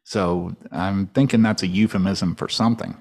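Low voiced podcast guy joking around, dry humor. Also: steady tone, moderate pace, gravelly, a little mellow. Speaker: podcast co-host. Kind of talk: casual joking chat.

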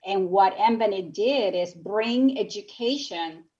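A woman's voice: medium pitch, a little slow, warm tone, highs cut off. A woman from Nicaragua, speaking English as a second language, telling her story as a guest on a radio talk show in an earnest, reflective way. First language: Spanish. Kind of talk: radio interview.

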